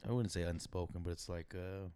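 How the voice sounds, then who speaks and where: A young man with a raspy voice muses on a podcast, pausing and restarting as he works through his thoughts. His voice is low, and the sound is dry and close to the mic. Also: fairly even intonation, mellow, no echo. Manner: thoughtful, hesitant, many "uh" and restarts. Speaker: podcast co-host. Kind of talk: casual chat.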